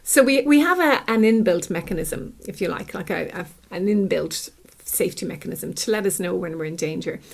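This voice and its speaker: A woman's voice: lowish voice, animated, fairly quick, creaky, hardly any pauses. Irish woman explaining in conversation. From Ireland. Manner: explains with everyday examples and acted-out thoughts. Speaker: clinical psychologist, podcast guest.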